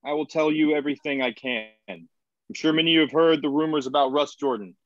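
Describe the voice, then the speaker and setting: An actor playing an earnest colony leader trying to keep control in a screenplay table read, measured and placating. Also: male voice, fairly high pitch, fairly bright tone, no room sound, dull audio, natural voice. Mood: solemn, official.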